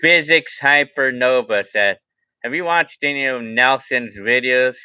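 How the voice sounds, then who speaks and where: Tense bright-voiced man in his forties rambling. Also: medium pitch, a little slow, clear, resonant tone, no echo, muffled recording. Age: 40s. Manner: rambling and repetitive, restarts phrases. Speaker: livestream vlogger. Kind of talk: casual live chat reading viewer comments.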